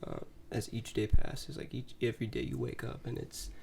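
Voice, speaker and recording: A young man and new father in a casual podcast chat, sounding nasal and sniffly from a sinus infection, reflective and soft-spoken. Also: medium pitch, huge pitch swings, normal speed, creaky, slight background noise. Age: young adult. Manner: reflective, quiet, trails off with sighs.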